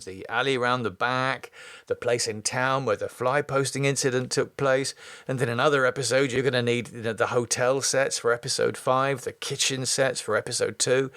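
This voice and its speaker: A man's voice: mid-range voice, normal speed, fairly bright tone, dry recording, clean studio sound. A middle-aged British man hosting a podcast, reading his own writing and talking casually to listeners. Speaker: podcast host and writer. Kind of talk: scripted screenplay reading and casual podcast talk.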